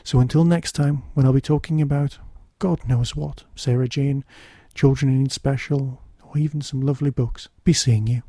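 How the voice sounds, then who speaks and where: A man's voice: medium pitch, fairly even intonation, moderate pace, hardly any pauses, dark tone. A man hosting a podcast, giving an informal spoken review in a wry, conversational way.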